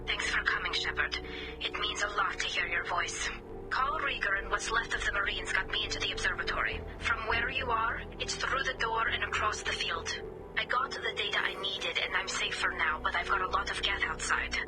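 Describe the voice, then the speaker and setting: Earnest, wistful young alien woman, game role, helmet-filtered medium-pitched voice over music. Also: brisk, rough recording. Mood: earnest, focused. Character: young female alien engineer in a masked suit, earnest and wistful. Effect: helmet filter, radio comms. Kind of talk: video game dialogue.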